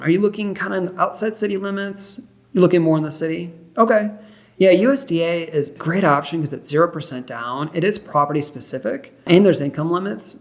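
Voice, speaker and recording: A young man talks a client through mortgage choices in a cheerful, encouraging tone, dropping in quick affirmations as he goes. His voice sits slightly high and has a gravelly edge. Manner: upbeat, reassuring, explains step by step; frequent affirmations like perfect and great. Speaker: mortgage loan officer. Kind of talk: one-sided mock phone consultation.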